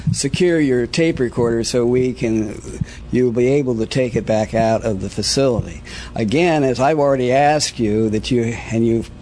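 A man answering an interview as a facility veterinarian, explaining things in a formal, careful and somewhat halting way. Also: mid-range voice, mellow, a little hiss or hum.

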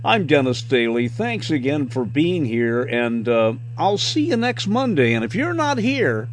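A man's voice: mid-range voice, sing-song, a little slow, slight background noise. A man, a radio host chatting casually to his listeners as he wraps up his show. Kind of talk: casual chat sign-off to listeners.

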